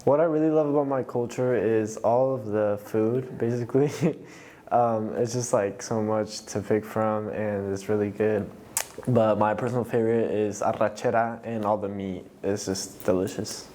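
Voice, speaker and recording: Teenage male, from Mexico, halting, warm dark timbre. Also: on the low side, slight background noise. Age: teens. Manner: relaxed, hesitant with fillers. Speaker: interview guest. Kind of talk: casual talk.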